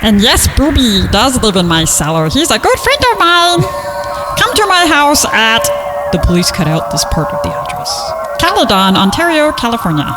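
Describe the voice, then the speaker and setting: Irreverent podcast story reader with very animated pitch, joking banter, music behind the voice. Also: moderate pace. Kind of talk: reading an amateur horror story aloud with joking banter.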